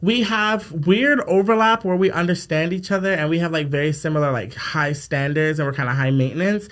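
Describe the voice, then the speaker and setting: A man in his twenties from Japan hosting a podcast as a casual chat, telling stories in an animated, slangy, playful way. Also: fairly high pitch, fairly quick. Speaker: podcast host.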